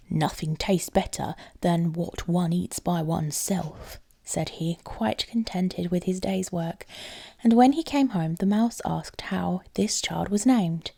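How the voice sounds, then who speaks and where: A woman storyteller reading a fairy tale aloud in an expressive style. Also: medium pitch, creaky, breathy edge.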